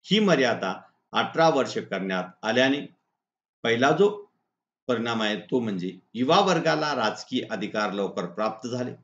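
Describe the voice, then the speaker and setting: A man with a Maharashtrian accent, a teacher reading out lesson answers point by point in a deliberate, instructional way. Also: medium pitch, big pitch swings, fairly bright tone. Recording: echoey room, dull lo-fi sound.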